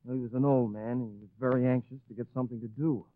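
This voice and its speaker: A man's voice: medium pitch, fairly even intonation, fast, no room sound, narrow, tinny audio, lightly acted. A man playing an earnest employer giving a report, in 1940s radio acting style, on a thin old recording.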